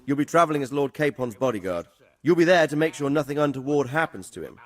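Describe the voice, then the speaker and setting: An actor playing a middle-aged, protective noble father who gives measured, instructive advice in a game. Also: on the high side, lively intonation. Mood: concerned. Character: protective, wise noble father advising his son. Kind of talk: dramatic game cutscene acting.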